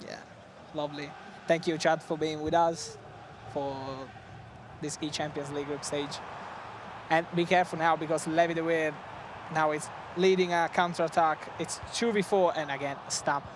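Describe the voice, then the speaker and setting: High-voiced Italian man commentating. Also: normal speed, background noise. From Italy. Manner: excitable, laughs often, non-native phrasing. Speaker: esports co-commentator. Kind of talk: live esports commentary.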